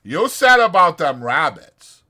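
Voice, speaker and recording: A man's voice: fairly high pitch, very animated, unhurried, pushed and bright, pitched above the performer's own voice, full character voice. A man voicing a big, simple, childlike man who is stubborn and eager, with insistent, simple phrasing, in an audiobook.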